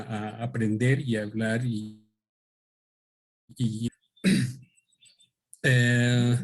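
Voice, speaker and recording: A man speaks into a microphone at a book presentation. His pitch is mid-range for a man, and he talks in a thoughtful, halting way, often pausing and restarting his sentences. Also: darkish tone. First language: Spanish. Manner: thoughtful, hesitant, with many fillers and restarts. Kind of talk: unscripted talk at a book presentation.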